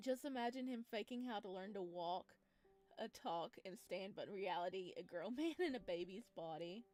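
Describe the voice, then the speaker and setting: Woman, mid-pitched voice, informal let's play commentary, expressive, music behind. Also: normal speed. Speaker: gaming video host. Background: game music.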